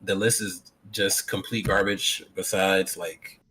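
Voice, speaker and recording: A man co-hosting a casual podcast, blunt and opinionated, quick to laugh. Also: deep voice, steady tone, pushed and bright, slight background noise, poor audio. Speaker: podcast co-host.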